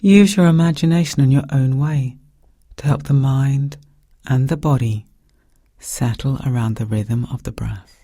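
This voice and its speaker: A man with a soft, breathy voice guiding a meditation in calm, gentle, measured phrases with pauses. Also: mid-range voice, dry recording, clean studio sound. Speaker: meditation guide. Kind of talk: guided meditation narration.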